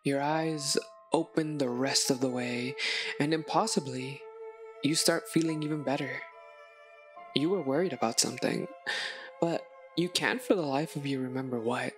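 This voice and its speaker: A woman narrating a scripted second-person story in a measured way, with dramatic pauses. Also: extremely low pitch, soft background music. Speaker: narrator, audio roleplay voice performer.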